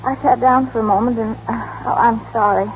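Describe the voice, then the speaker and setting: A woman's voice: a little high, steady tone, brisk, a little hiss or hum, lightly acted. A woman playing a sweet, modest young working woman in an old radio drama, speaking hesitantly and demurely. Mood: faint, apologetic. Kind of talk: 1930s-40s radio acting. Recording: muffled old radio broadcast.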